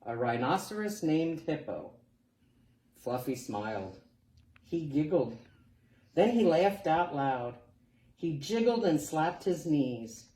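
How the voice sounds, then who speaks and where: A woman reading a children's picture book aloud as a storytime reader, expressive and deliberate. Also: sits low. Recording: roomy, distant mic, faint background noise.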